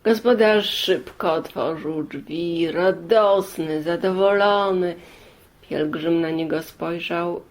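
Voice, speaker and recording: A woman storyteller telling a fairy tale in deliberate short phrases, with many pauses and repeats. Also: on the low side.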